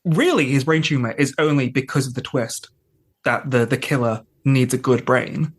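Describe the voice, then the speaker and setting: Male podcast panelist, mid-pitched, casual and analytical, thinking through points with frequent asides. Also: normal speed. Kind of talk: casual episode discussion.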